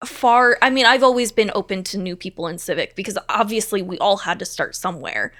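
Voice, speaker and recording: Female interview guest, medium pitch, conversational, earnest, emphatic delivery. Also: brisk, a bit bright. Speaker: stage manager, interview guest.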